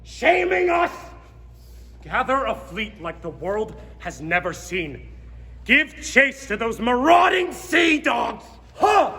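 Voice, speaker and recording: A young man acting a scornful, wrathful king in a stage play with bombastic, drawn-out declamation. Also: very high voice, sing-song, full character voice. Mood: enraged. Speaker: student actor in a school competition play. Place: theatre stage, live performance. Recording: echoey hall, loud music and crowd.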